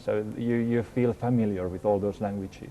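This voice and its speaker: A man's voice: fairly low pitch, fairly even intonation, normal speed, warm tone, a little hiss or hum. A man interviewing a guest on a TV breakfast show, his questions hesitant and stumbling.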